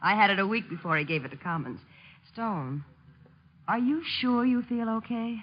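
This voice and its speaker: A woman's voice: mid-range voice, expressive, normal speed, slight background noise, natural voice. Actress as a brash, breezy radio newswoman. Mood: boastful. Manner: breezy, cocky, slangy banter. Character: brash, fearless, flirtatious, hard-drinking female star reporter. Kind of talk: 1950s radio drama acting. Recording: muffled old 1950s radio recording.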